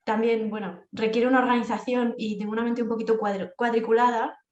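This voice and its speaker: Interview guest: woman, Spain accent, fairly high voice, conversational, recorded in a reverberant room. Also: level tone, fast, a little mellow, lo-fi sound. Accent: Spanish from Spain. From Castilla-La Mancha, Spain. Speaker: interview guest, freelance project manager. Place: indoors at home, echoey room.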